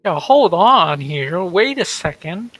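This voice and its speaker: Young male game streamer: casual, blunt and incredulous, sharp bright tone, unhurried pace. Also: sits high, very animated, dry recording. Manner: incredulous, exclamatory, blunt slang. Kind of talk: casual gameplay reaction commentary.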